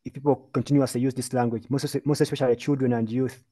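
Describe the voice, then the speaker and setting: A man from Uganda speaks as a mental health presenter in an online webinar, laying out his points in an earnest, emphatic lecturing manner. His voice sits at an ordinary pitch with a mellow, darker tone, and he is heard over a video call. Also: little pitch movement, quick pace. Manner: earnest, emphatic, lecturing with lists and repeated phrases.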